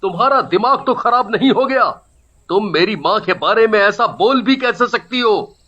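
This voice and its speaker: A man's voice: high-pitched, expressive, slow, sharp tone, heavily acted. A man voicing a naive, devoted young son in a cartoon, earnest, in a dull lo-fi recording. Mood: indignant. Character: naive, devoted young man, fiercely loyal to his mother. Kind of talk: Hindi cartoon story dubbing.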